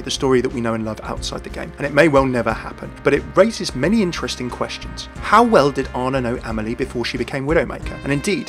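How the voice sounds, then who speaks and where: A man narrates a gaming lore video as its host. He moves along at a quick pace over light background music. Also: mid-range voice, a bit bright. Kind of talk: scripted narration of game lore explainer.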